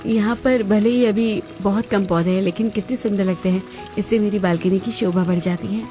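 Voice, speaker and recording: A determined young daughter-in-law, voiced by a woman, speaks with earnest insistence. Her voice sits at a mid pitch, and faint music runs behind her. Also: steady tone, normal speed, dark tone, close to an everyday voice. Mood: delighted.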